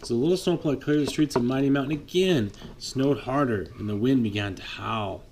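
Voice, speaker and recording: A man reading a picture book aloud to his child at bedtime, lively, pausing to chat with the child. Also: mid-range voice, a little hiss or hum. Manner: lively read-aloud, voices for the trucks, chats with the child. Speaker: father reading a bedtime story.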